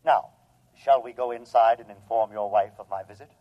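An actor playing a calm, superior humanoid robot in an old radio drama, with formal, precise, matter-of-fact speech. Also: male voice, mid-range voice, normal speed, close to an everyday voice. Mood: coolly menacing. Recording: dull, lo-fi old radio recording.

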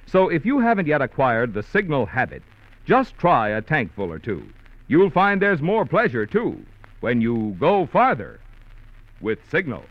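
A man's voice: fairly high pitch, sing-song, measured pace, no room sound. A man announcing a scripted vintage radio advertisement with a polished salesman's delivery. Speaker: commercial announcer. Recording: muffled old radio recording.

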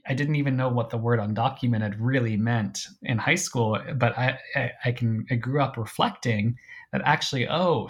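A man being interviewed about his book, speaking thoughtfully, with frequent restarts and hedges. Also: medium pitch. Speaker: author and guest. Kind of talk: academic interview.

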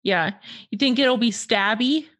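Playful woman co-host with husky voice. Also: unhurried, fairly bright tone. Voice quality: low husky smoker's voice. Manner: laughs often, playful, teasing. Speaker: podcast co-host. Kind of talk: casual comedic chat.

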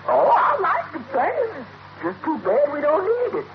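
An actress playing a skeptical, good-natured household cook in a drawn-out 1950s radio comedy manner. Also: female voice, high voice, huge pitch swings, full character voice. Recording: very noisy, muffled old radio broadcast.

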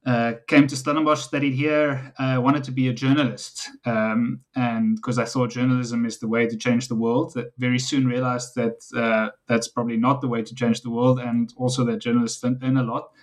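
A man's voice: mid-range voice, little pitch movement, normal speed, hardly any pauses. A middle-aged man with a South African accent, speaking conversationally as an academic guest in an interview, explaining things in an anecdotal way. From South Africa, Western Cape.

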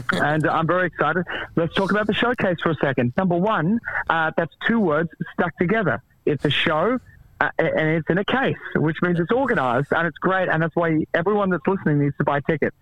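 A comedian with an Australian accent chats and jokes on a call-in, laid-back and a little tipsy, laughing often. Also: male voice, on the high side, brisk, lo-fi sound. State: tipsy after a few beers. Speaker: comedian calling in as a guest. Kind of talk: casual joking phone chat.

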